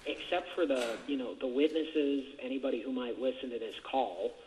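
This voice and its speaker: A man's voice: medium pitch, normal speed, a little mellow. A man chatting casually in a hesitant, rambling way over a jail phone line. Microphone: telephone handset. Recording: muffled, noisy jail phone call recording.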